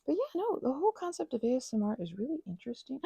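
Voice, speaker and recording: A woman rambles casually at her craft table, losing her thread and restarting often. Her voice is husky and gravelly with a mellow, dark tone. The close-miked recording sounds dry. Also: high-pitched, big pitch swings, fast talker, no room sound. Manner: rambling, chatty, many fillers and restarts. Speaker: ASMR and audio creator.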